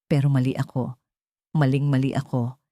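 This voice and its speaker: A woman's voice: very deep voice, little pitch movement, normal speed, mellow, no echo, voice pushed down. A woman voicing a desperate, remorseful wealthy man in love, pleading in short, emphatic phrases in an audiobook drama.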